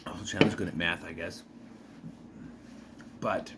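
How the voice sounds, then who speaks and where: A man's voice: low voice, steady tone, a little slow, very noisy. A man casually recapping a sitcom episode, rambling, correcting himself and briefly putting on the characters' voices. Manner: rambling, self-correcting, opinionated asides; briefly mimics characters while retelling. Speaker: show recap vlogger.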